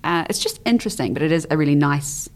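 Guest: young woman, low voice, chatty, hesitant with ums, from the Isle of Man. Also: animated, quick pace. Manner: chatty, hesitant, with frequent ums and trailing phrases. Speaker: interview guest. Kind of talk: casual conversational interview.

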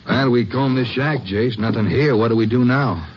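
A man's voice: medium pitch, moderate pace, close to an everyday voice. An actor playing an earnest lawman sidekick, folksy and inquisitive, in an old-time radio western. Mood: puzzled, thoughtful. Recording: muffled old radio recording.

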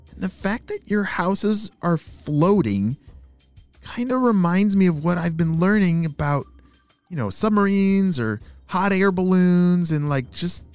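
A man voicing a clever, take-charge young girl in a children's story who talks briskly. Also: high voice, darkish tone, dry recording, pitched above the performer's own voice.

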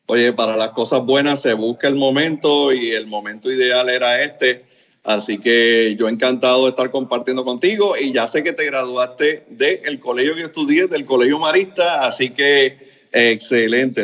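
A man's voice: medium pitch, lo-fi sound. A middle-aged man from Cuba, raised in Rico and speaking, answering interview questions in an earnest, flowing way. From Cuba, raised in Puerto Rico. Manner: warm, earnest, speaks in long flowing sentences. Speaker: guest, company founder.

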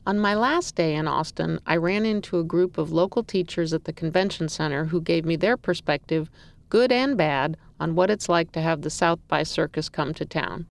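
Woman host with a gravelly low voice. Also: normal speed. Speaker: podcast host and reporter. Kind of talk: scripted narration and street interviews.

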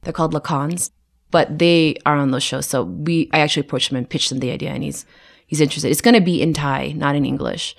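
Low-pitched woman, chatty production designer, lots of likes and laughs, kinda tired. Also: steady tone, fast, creaky. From United States. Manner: chatty, laughs often, many fillers and restarts. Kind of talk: casual interview conversation.

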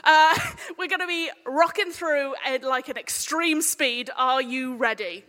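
Female live host, sharp bright tone, irreverent and jokey, excitable delivery, long hall reverb. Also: sits high, measured pace. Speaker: panel chair. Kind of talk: informal, jokey live panel hosting.

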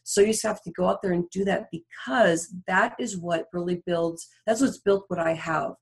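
A woman's voice: fairly low pitch, steady tone, normal speed. A woman talking casually as a podcast guest, effusive and encouraging in manner. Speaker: podcast guest, coach and author. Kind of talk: casual interview talk.

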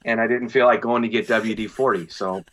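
A man co-hosting a podcast over a call, telling stories with animated, joking, mock-indignant banter. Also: on the low side, a little fast. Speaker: podcast co-host. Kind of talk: casual podcast chat. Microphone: remote call mic.

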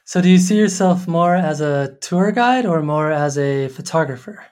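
Podcast host, upbeat, curious, measured questions, video call. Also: mid-range voice, expressive, a little mellow. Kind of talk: interview hosting.

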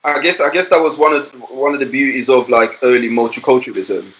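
A man conducts a casual interview at a brisk pace. His voice is mid-pitched, and he sounds attentive, chiming in often with agreement and phrasing his questions conversationally. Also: steady tone, a bit bright, dull audio. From born in Ghana.

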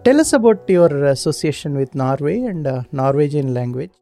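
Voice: medium pitch, lively intonation, moderate pace, warm tone. A podcast host reading out a guest introduction and asking questions, careful and a bit hesitant. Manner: reads carefully, with hesitant fillers. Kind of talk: read-out introduction and interview questions. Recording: music playing behind the voice.

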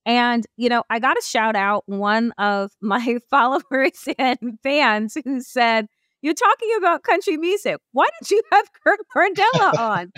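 A woman hosting a conversational interview, putting her questions in a wordy, halting way. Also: fairly high pitch, animated, a bit bright, no room sound, clean studio sound. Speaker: podcast host.

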